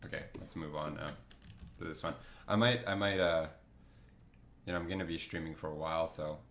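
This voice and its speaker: A man's voice: very deep voice, steady tone, brisk, a bit bright, roomy sound, slight background noise. A man chatting casually while drawing on a livestream, rambling with lots of fillers and restarts, a bit sniffly from a cold. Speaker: artist streaming a drawing session.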